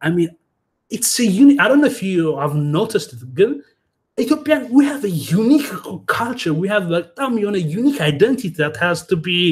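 A young Ethiopian man with an Ethiopian accent, speaking animatedly as a guest on a video call from a small room. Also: a little high. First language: Amharic. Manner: animated, gestures with hands, mixes in Amharic and Italian. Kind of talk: casual interview over video call. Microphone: laptop webcam video call.

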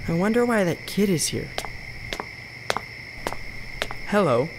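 A man with an American accent acting a friendly, concerned young youth-group member in an amateur radio drama, gently inquisitive. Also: high voice, sing-song, a little fast, over music, poor audio.

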